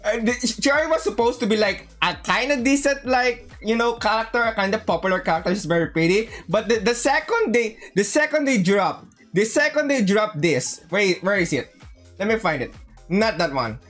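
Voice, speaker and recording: A man with a high voice hosts a casual gaming livestream. He talks in an excitable, slangy manner and often doubles up words and phrases. Music plays softly behind him. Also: moderate pace.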